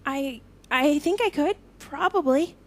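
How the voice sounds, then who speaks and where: A woman playing an unsure, earnest young woman with powers in an audio drama, speaking in a halting, tentative way. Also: high voice.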